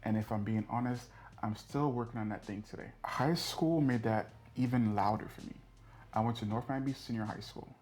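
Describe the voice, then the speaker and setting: A man in his thirties hosting his own podcast, talking in an earnest, measured way about his life, with the odd filler. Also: mid-range voice, a little mellow. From born in the US, raised in Europe. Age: 30s. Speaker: podcast host. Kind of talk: reflective solo podcast monologue.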